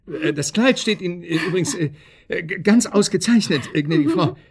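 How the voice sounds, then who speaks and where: A man's voice: high voice, breathy edge, heavily acted. An actor playing a nervous, courteous, flirtatious man in a radio drama, hesitant and stammering, with speech. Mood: tipsy, playful. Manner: hesitant, stammering, formally polite. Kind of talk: German radio drama acting.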